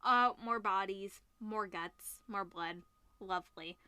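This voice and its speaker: A woman's voice: medium pitch, takes it slowly, sharp tone, clean tone, no echo. A woman doing a casual let's-play, reading a visual novel aloud with amused, wry asides. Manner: amused, wry asides between read passages.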